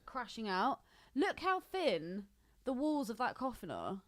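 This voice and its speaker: A woman's voice: on the high side, very animated, measured pace. A woman bantering casually as a podcast co-host.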